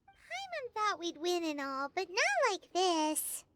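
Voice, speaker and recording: A woman playing a tiny, excitable childlike fairy companion in an anime-style game, with a squeaky voice. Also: slow pace, heavily acted. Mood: surprised. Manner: refers to herself in third person, exclaiming.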